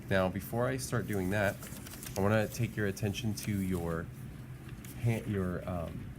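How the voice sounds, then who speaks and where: Deep-voiced male art teacher, noisy recording. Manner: halting, thinking aloud, self-correcting. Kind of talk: informal instructional demonstration. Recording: clearly noisy background.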